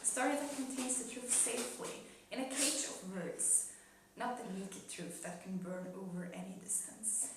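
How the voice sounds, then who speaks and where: A woman playing a gentle, solemn cosmic spirit in a measured, wistful dramatic reading of a poetic dialogue. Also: mid-range voice, steady tone, pitched below the performer's own voice, natural voice. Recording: echoey room, distant mic, faint noise.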